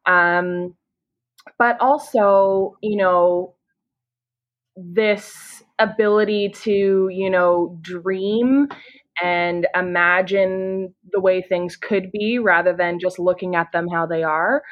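A woman's voice: fairly low pitch, fairly even intonation, slow, muffled recording. A Canadian woman in her thirties, a podcast guest, who talks eagerly and casually with many "you know"s. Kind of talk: casual conversation.

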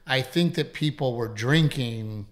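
Host pria, komedian yang bercerita panjang dan bertele-tele, sering mengumpat, suara tengah. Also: male voice, mid-range voice, a little slow. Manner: rambling storyteller, swears freely, many restarts. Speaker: comedian and podcast host. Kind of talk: casual chat.

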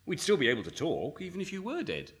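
A man's voice: a little high, wildly swooping pitch, fast. A man playing a reluctant, anxious novelist in a radio play, placating and weary in a hesitant conversational manner. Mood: uneasy.

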